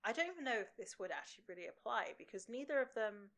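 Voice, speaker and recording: A woman's voice: a little high, animated, quick pace, a bit bright. A woman co-hosting a podcast, recapping a TV show in casual conversation. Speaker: podcast co-host.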